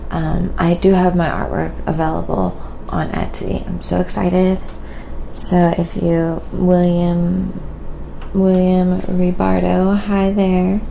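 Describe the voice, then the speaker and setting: A woman hosting an ASMR painting live stream, chatting to her viewers in a hushed, gentle manner. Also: on the low side, vocal fry, noisy background.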